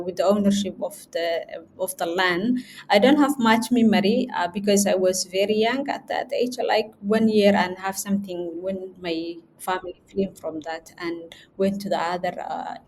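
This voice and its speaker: A woman's voice: mid-range voice, a little mellow, slight background noise, rough recording. Hesitant woman. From Somali region. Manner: hesitant, many fillers and restarts. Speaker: guest, chief editor. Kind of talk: interview conversation.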